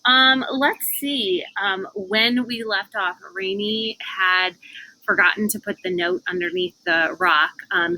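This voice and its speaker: Bright-voiced woman reading a storybook aloud. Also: mid-range voice, rough recording. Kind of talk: storybook read-aloud with casual asides. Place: outdoors on a porch. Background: cicadas and air conditioner hum.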